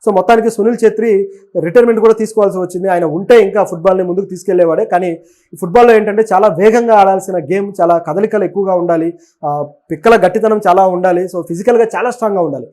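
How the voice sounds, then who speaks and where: A man's voice: high-pitched, fast, dark tone. A man giving conversational news commentary as a web presenter, explaining and offering opinions, with English words mixed in. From Telugu states of southern India.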